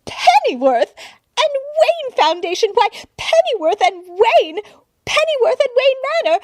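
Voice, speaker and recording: A woman puts on an effusive older society lady, shrill and breathless with delight. Her voice leaps high and loud as she gushes. The recording is dry and close. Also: very animated, measured pace, dry recording, heavily acted. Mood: gushing.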